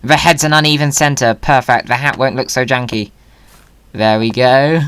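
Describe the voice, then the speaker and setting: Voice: mid-range voice, fairly even intonation, moderate pace, fairly bright tone, smooth. A whimsical, playful video host giving casual commentary and chat during a game.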